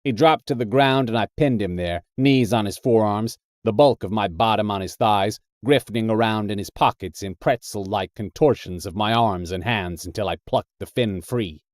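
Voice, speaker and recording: A man voicing a tough, thickset American drifter who narrates his own purgatory story in a deliberate, hard-boiled, colourful way. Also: lowish voice, no echo, studio quality, lightly acted. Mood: brooding, deliberate. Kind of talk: dramatic first-person short story narration.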